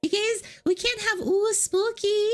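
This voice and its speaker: A woman's voice: very high voice, fairly even intonation, measured pace. A woman streaming as a VTuber, chatting casually and answering would-you-rather questions. She talks in a playful, giggly way and teases her chat.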